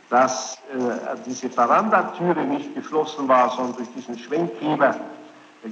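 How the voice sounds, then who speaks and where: A man's voice: mid-range voice, a bit bright, highs cut off. Insistent male interrogator, noisy echoing tape. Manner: insistent, halting, long-winded. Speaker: interrogator questioning a witness. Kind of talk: formal courtroom-style questioning. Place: large reverberant room.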